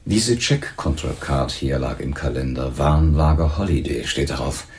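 Police detective, extremely low voice, calm, measured, probing, crime radio play. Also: male voice. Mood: businesslike. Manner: measured, matter-of-fact questioning. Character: calm, probing male police lieutenant on a murder case. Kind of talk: German crime radio drama acting.

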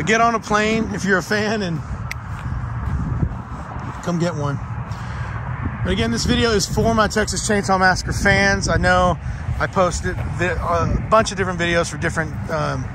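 A man walking and talking through an old filming location, excited and rambling, restarting his sentences as he films on a handheld phone outside. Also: sits high. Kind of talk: casual walking vlog. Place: outdoors on an old overgrown road. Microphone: handheld phone camera. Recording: loud outdoor background noise.

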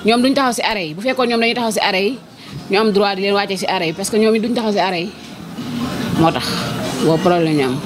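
A woman's voice: medium pitch, fast. A young woman who works as a bus conductor, speaking frankly in a street interview inside a bus, into a handheld reporter mic. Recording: bus noise behind.

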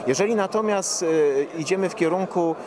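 A middle-aged man giving formal, measured expert explanations as an interviewed professor. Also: high voice. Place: interview stand at a busy indoor forum. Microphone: handheld reporter microphone held out to him. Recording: busy trade event crowd noise.

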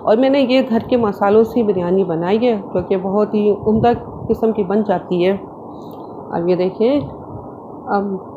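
A woman's voice: medium pitch, takes it slowly. A woman presenting a home cooking recipe, explaining each step as she does it and often repeating herself. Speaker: home cook hosting a recipe video. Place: indoor home kitchen. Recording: dull lo-fi phone-like home recording, clear background noise.

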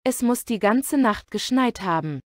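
A woman reading short phrases slowly and deliberately for a language course, word by word and then whole sentences. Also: on the high side, lively intonation, darkish tone, no room sound, clean studio sound. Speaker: German language model speaker. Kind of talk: slow repeated phrase reading.

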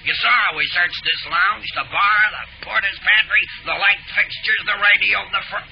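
A man's voice: sits high, fairly even intonation, normal speed, creaky. A man playing a weary, blunt police sergeant with colloquial slang in 1940s radio acting. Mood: weary, exasperated. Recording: muffled vintage radio broadcast.

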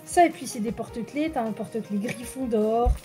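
A woman's voice: a little high, normal speed, mellow, faint music behind. A young woman co-hosting a live stream, chatting casually and rambling with lots of hesitations. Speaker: stream co-host. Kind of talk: casual chat.

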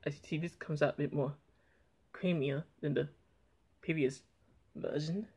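A young man talking plainly and a little haltingly as he reviews eggnog on a home vlog, filmed by a camera on the table in a small room. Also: on the high side, fairly even intonation, darkish tone, slightly rough. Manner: plain, unhurried, slightly halting talk. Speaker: product reviewer. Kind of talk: casual taste-test vlog. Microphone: camera on table in front.

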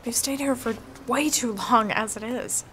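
A woman's voice: a little high, unhurried, bright, forceful tone. A young American woman playing a blunt, sceptical college student in an audio drama, brusque and slangy. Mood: uneasy. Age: young adult. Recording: faint background noise.

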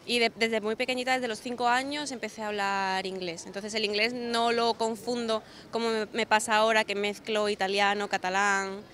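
A woman's voice: medium pitch, steady tone, moderate pace, fairly bright tone. A young woman answering a street interview outdoors, chatty and explaining. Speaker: passerby. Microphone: handheld mic.

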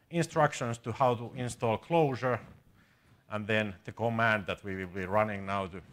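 A man's voice: mid-range voice, fairly bright tone. A man in his forties with a Finnish accent, giving an informal, matter-of-fact live coding workshop as a conference speaker. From Finland. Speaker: conference speaker and programming instructor. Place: small conference seminar room with seated audience.

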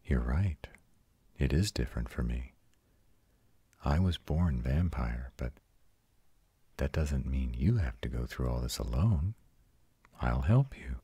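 A man plays a proud vampire comforting his mate in an intimate audio roleplay. His voice is extremely low and thoroughly husky, unfolding slowly and softly with tender, protective care. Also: expressive, dry recording, exaggerated voice. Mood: reassuring. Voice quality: very deep, husky, often breathy whispering. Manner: slow, hushed, soothing. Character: arrogant male vampire, tender and protective lover. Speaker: voice actor.

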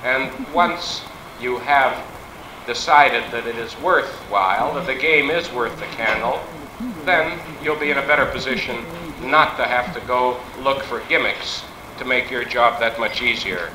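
A man giving a measured, articulate speech at a business meeting, on 1960s reel-to-reel tape. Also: medium pitch, reverberant, background noise. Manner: measured, articulate, formal wording. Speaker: business speaker. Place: meeting room.

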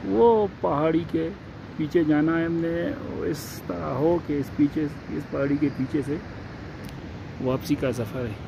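A man with a fairly high voice narrates his hike as he walks a rocky mountain trail, pointing things out in a casual, chatty way. The handheld phone mic picks up steady outdoor wind noise. He sounds like someone filming himself on the move. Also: a little slow, vocal fry. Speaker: vlogger. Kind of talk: casual hiking narration to camera.

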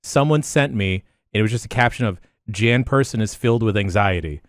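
Animated man with swooping pitch, chatting. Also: fairly quick, no room sound, studio quality. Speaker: podcast panelist. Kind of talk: casual chat. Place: indoor room, close mic. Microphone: microphone on boom arm, headset.

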